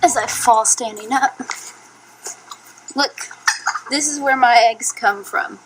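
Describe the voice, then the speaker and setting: A young woman narrating a casual food vlog in a flat, low-energy way, with many ums. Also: slow pace, noisy background. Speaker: YouTuber. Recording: old video played from a phone.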